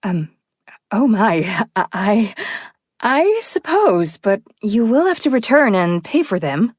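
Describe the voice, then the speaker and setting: A woman's voice: mid-range voice, sing-song, slow, no echo, studio quality. A woman voicing a flustered, kindly and flirty shop clerk in a visual novel, coy and hesitant. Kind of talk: visual novel character acting.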